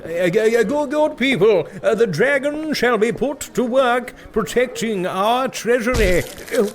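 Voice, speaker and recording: An actor playing a vague, bumbling king in a comedy audio drama, making halting, stammering, mock-regal proclamations. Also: very high-pitched, lively intonation. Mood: flustered pomp. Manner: halting, stammering, flustered pomp. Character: vague, bumbling male king addressing his subjects.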